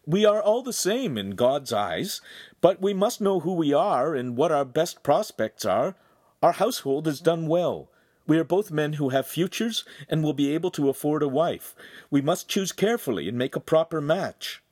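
A man voicing a calm, good-humoured young man in a story, earnest and conciliatory. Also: medium pitch, moderate pace, no room sound, clean studio sound, pitched above the performer's own voice, close to an everyday voice.

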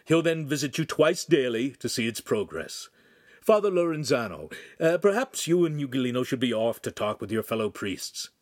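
A man voicing a kindly but stern older master craftsman in an audiobook, measured, questioning and paternal. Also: medium pitch, expressive, no room sound, clean studio sound, lightly acted. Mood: businesslike.

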